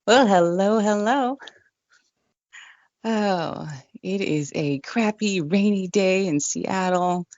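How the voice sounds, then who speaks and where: A woman with a low voice, chatting casually as an interview guest, relaxed and self-deprecating, on a condenser mic at home. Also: slow, pauses often, no echo. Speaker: guest, online radio DJ. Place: home room without acoustic treatment. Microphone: condenser desk mic with pop screen. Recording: dull, dry home recording.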